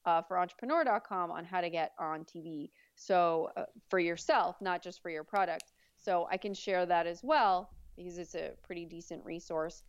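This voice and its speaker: A woman with a deep voice, chatting easily as an interview guest about her PR agency. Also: normal speed, hardly any pauses, clear, resonant tone, dry recording, highs cut off. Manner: relaxed, chatty, with fillers and easy laughs. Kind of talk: casual interview.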